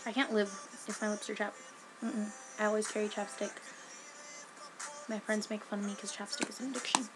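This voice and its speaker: A woman's voice: mid-range voice, flat delivery, fairly quick, long pauses, over music, rough recording. A teenage girl rambling hyperactively in a casual home webcam vlog, sniffly from a cold and jumping between topics. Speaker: vlogger.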